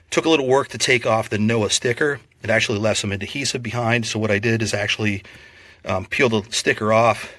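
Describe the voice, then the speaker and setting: A man giving a casual, unscripted product review in a plainspoken, measured, explanatory way. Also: lowish voice, a bit bright, no echo.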